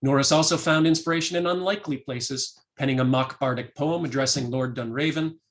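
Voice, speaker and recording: A man, an academic lecturer, reading a prepared talk in a measured way and voicing quoted passages. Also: medium pitch. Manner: measured, reads quotations aloud.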